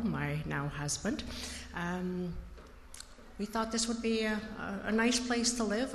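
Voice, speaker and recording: A woman in her sixties, raised in the Netherlands, speaks in a somewhat low voice as she tells her faith story, pausing often on fillers. Her handheld mic picks her up in a church sanctuary, and a long reverb trails each phrase. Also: a little hiss or hum. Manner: thoughtful, halting, many fillers. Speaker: interview guest, church member. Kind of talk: personal testimony in church interview.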